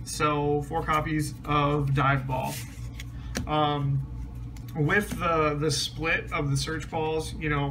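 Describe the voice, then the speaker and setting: A man's voice: fairly high pitch, background noise. Rambling man explaining a card deck. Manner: relaxed, rambling, many ums and restarts. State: slightly losing his voice, clears throat. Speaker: card game deck reviewer. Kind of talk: casual deck profile explanation.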